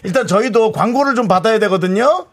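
A man hosting a radio show, running the segment with quick joking quips. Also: sits high. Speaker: radio host. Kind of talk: radio talk, joking with a caller.

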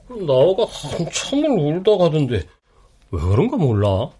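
A gruff old grandfather with a thick Gyeongsang accent, husky and blunt. His voice sits high and swings widely in pitch, full of teasing grumbles. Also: huge pitch swings, a little slow, dark tone, heavily acted. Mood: grumbling. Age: older. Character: old grandfather with heavy dialect, stubborn and gruff.

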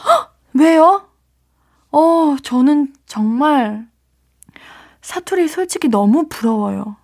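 A woman's voice: high voice, animated, slow pace, darkish tone. A woman hosting a late-night radio show, chatting and reacting to listener letters with frequent gasps and exclamations, speaking into a studio microphone. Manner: bubbly, gasps and exclaims often. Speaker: radio DJ host.

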